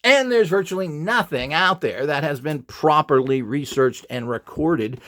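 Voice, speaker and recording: A man's voice: fairly high pitch, lively intonation, unhurried, a bit bright. A middle-aged or older man giving an informal, off-the-cuff instructional talk in a blunt, opinionated, emphatic and rambling way. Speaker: instructor and video presenter.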